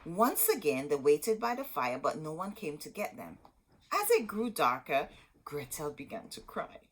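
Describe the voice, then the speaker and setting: A woman reading a children's story aloud as host, expressive and dramatic, drawing out words for emphasis. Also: low voice, moderate pace, breathy edge, low-quality recording.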